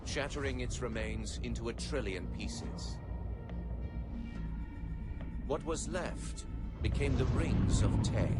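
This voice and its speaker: A man's voice: mid-range voice, natural voice. An actor playing a proud, solemn alien prophet, narrating his empire's history in a stately, formal game-cutscene manner. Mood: grave, lofty. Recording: loud music under the voice. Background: loud cinematic music.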